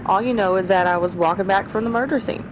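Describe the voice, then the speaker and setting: A woman plays a quick, determined young schoolteacher turned sleuth in a radio mystery. She reasons briskly, in a middle voice. A steady hiss runs under the noisy recording. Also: medium pitch, natural voice. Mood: tense. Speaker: voice actor. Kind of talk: old-time radio drama acting.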